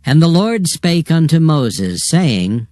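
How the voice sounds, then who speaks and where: A man narrating scripture from a script in a formal, measured way. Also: on the high side, big pitch swings, a little mellow, no echo, clean studio sound. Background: faint music.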